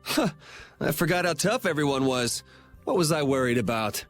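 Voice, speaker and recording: A man's voice: a little high, lively intonation, normal speed, natural voice. An actor playing a confident young male swordsman hero with a dry, blunt, self-assured way of talking. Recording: game music underneath.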